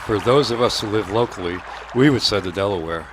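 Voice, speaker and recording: Male announcer, lowish register, scripted radio theatre narration, slight background noise. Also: brisk.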